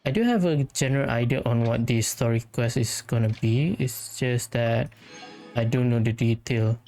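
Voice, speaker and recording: Casting: female gaming host with an extremely deep, dark voice, low-key, rambling casual commentary. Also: moderate pace, faint music behind. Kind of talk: casual let's-play commentary.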